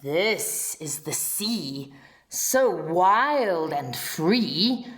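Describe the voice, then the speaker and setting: A woman reading a rhyming children's picture book aloud in an expressive, dramatic way with drawn-out phrasing. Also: medium pitch.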